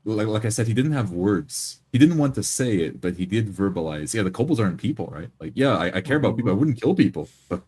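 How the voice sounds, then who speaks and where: A man's voice: lowish voice, lively intonation, fast talker, few pauses, mellow, husky. A man chatting casually as a player in a role-playing game group.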